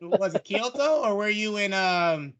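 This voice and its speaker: A man chatting as a podcast co-host, quick with teasing banter. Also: high voice, lively intonation, a bit bright. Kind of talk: casual podcast chat.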